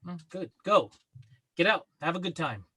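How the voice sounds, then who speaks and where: A man's voice: high voice, lively intonation, normal speed, pushed and bright, slightly rough, exaggerated voice. A man playing a grumpy, bossy best friend who gives blunt advice in a sitcom table read. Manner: blunt, exasperated, emphatic. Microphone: video call.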